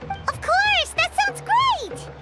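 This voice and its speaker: A woman voicing a tiny, excitable, childlike fairy companion in a video game, cheerful and exclamatory, speaking of herself in the third person. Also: very slow, exaggerated voice. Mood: perky, eager. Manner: squeaky, exclamatory, refers to self in third person. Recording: music playing behind the voice.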